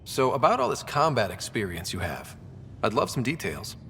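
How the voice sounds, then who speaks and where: A man's voice: wildly swooping pitch, normal speed, creaky, fairly bright tone, full character voice. An actor playing an earnest, curious young male leader of explorers in a video game. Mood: earnest, inquiring. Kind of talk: video game character dialogue. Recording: long reverb, faint rumbling background noise.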